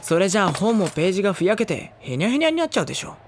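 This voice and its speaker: An actor playing a gentle, worrying high-school boy in a Japanese audio drama, soft-spoken and polite. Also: sing-song, very fast, a little hiss or hum. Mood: caring, exasperated. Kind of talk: Japanese audio drama acting with introspective narration.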